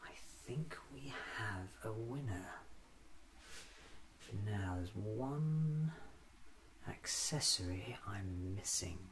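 A man in his thirties doing a soft-spoken ASMR roleplay as a fussy, eccentric doctor musing to himself, often slipping into a whisper. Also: fairly low pitch, lively intonation, drawn-out words, long pauses. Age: 30s. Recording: clearly audible background noise.

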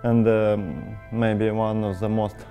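A man with a Russian accent speaking English in an interview, halting and searching for words. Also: on the low side, little pitch movement, warm tone, soft background music. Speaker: interviewee, film editor. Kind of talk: sit-down interview answers. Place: indoor studio space.

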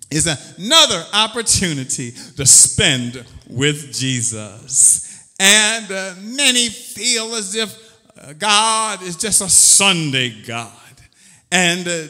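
A man's voice: high-pitched, bright, forceful tone, big-room sound. A man preaching a sermon as pastor, fervent and drawn out, calling out "oh Lord" between phrases. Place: church sanctuary.

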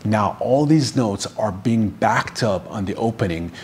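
A middle-aged man whose native language is Farsi speaks to camera in a fairly low voice. He reviews fragrances effusively, lingering over each description. The indoor recording carries some steady background noise. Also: a little slow. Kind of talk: enthusiastic product review to camera. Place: indoor room, seated.

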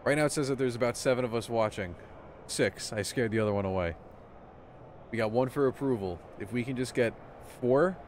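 A man in his twenties in a small room with a headset, casually commentating a live stream and chatting excitedly with viewers. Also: medium pitch, brisk, slight background noise. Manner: excitable, chatty, addresses chat.

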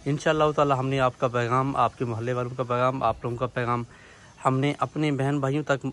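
A man's voice: mid-range voice, steady tone, brisk, fairly bright tone. A man presenting a charity appeal on location, speaking in an earnest, devout and repetitive way. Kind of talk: spoken appeal to camera with on-site interviews. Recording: noisy field recording with vehicle sounds.

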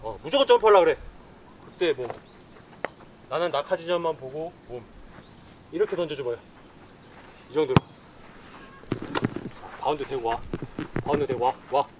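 A man in his thirties coaching football players on an outdoor pitch, giving brisk instructions and commands, recorded from a distant camera. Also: lively intonation, noisy background.

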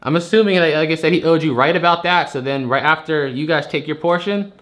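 A man's voice: a little high, quick pace, a bit bright. A man, a storage unit buyer arguing his case, frustrated and rambling, repeating himself as he pushes his point. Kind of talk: heated phone argument.

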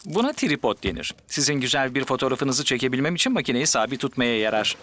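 An actor playing a friendly, easygoing photographer dad in a children's cartoon dub, amiable and patient. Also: male voice, medium pitch, big pitch swings, fast, fairly bright tone.

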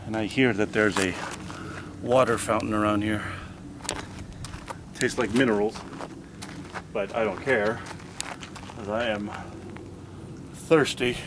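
A man's voice: on the low side, fairly even intonation, slow pace, long pauses. A young man chatting casually and jokily to a handheld camera on an outdoor hiking trail, a little out of breath. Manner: chatty, jokey, self-mocking. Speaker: vlogger. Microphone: handheld camera mic. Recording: outdoor camera audio with clear background noise.

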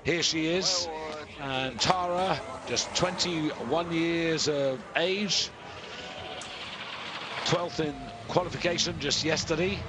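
A man giving live, measured commentary on a ski jumping competition, explaining scores and distances with pauses and fillers. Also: on the high side, noisy background. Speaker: sports commentator.

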